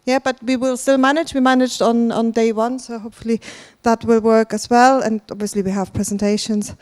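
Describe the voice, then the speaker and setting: A woman's voice: a little high, level tone, fairly quick, a little mellow. A woman from Germany hosting a conference, chatting with the audience and laughing often. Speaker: conference host. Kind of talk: conference stage address. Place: large conference hall.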